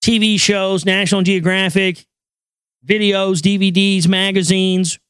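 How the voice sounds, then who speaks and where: A man with a high voice hosting a casual talk show, joking crudely and rambling over news items. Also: steady tone, unhurried, a bit bright, clear, resonant tone, no echo, studio quality. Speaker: show host.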